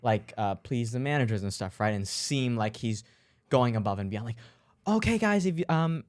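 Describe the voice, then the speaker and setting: Young dude co-hosting, voice jumps around a lot, lots of ums and likes. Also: male voice, medium pitch, animated, normal speed. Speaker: podcast co-host. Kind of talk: casual chat.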